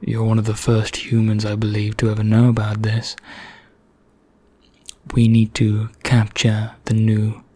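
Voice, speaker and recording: A man playing a genteel, secretive immortal vampire in an ASMR roleplay, speaking in a hushed, deliberate, breathy half-whisper. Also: on the low side, monotone, dry recording. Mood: confiding, secretive. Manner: slow, deliberate, genteel and conspiratorial. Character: bored, secretive immortal male vampire, darkly courteous.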